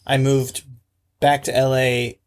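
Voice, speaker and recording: A man co-hosting a podcast in casual, blunt talk, with a squeaky, abrasive voice and the mic set back from him. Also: flat delivery, takes it slowly, smooth. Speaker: podcast co-host. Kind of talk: casual chat. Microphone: microphone placed well away from him.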